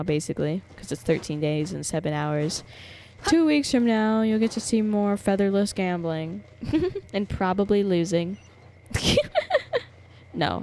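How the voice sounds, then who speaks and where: A woman's voice: on the low side, a little mellow, a little hiss or hum. A woman livestreaming a game, reacting casually and playfully with exclamations and swearing. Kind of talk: casual live reaction commentary. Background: game music.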